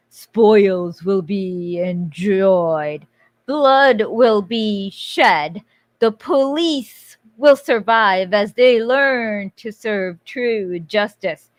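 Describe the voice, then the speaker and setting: A woman doing an impression of a menacing masked male villain, proclaiming slowly and theatrically like a grand revolutionary speech. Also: mid-range voice, no echo.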